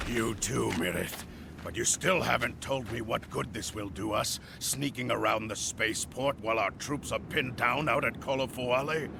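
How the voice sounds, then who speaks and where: A man playing a skeptical but loyal rebel sidekick in an audio drama, gravelly and grumbling, with music behind him. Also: mid-range voice, moderate pace, background noise. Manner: grumbling, questioning. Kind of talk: space opera audio drama acting.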